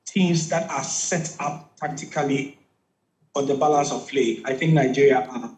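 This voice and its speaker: A man's voice: medium pitch, moderate pace, darkish tone, reverberant, low-quality recording. A man from Ghana giving conversational football analysis as a studio pundit, with frequent restarts.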